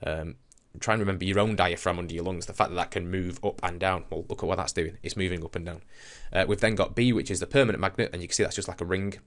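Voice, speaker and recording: A man with a low voice gives an informal science lesson. He explains things conversationally, as a teacher does when thinking aloud through a demonstration. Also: fairly even intonation, fast talker, few pauses.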